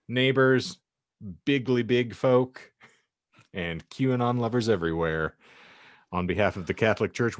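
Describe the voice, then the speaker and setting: A man's voice: fairly low pitch, no echo, studio quality. A man hosting a comedy podcast, narrating and bantering in a wry, mock-formal way. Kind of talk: comic audiobook narration with banter.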